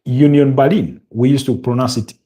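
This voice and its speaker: A man with a Ugandan accent hosting a live football stream, chatting in a halting way and answering viewer comments. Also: mid-range voice, fairly even intonation, mellow. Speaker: football show host.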